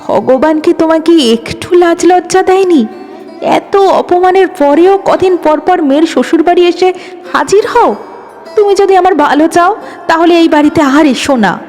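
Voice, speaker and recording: A woman's voice: very high voice, a little slow, darkish tone, voice pushed up. A woman voicing a long-suffering young wife in an audio story, pleading and reproachful. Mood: tearful reproach. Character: long-suffering young wife, hurt, protective of her father. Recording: long reverb, music underneath.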